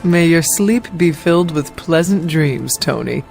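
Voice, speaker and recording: A stoic undead hunter hero from a game, gravelly, formal clipped lines, music under it. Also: male voice, fairly high pitch, lively intonation, darkish tone. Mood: dry, courteous. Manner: formal, measured, terse. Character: solemn, stoic resurrected demon-hunting warrior in armor. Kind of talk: video game cutscene acting.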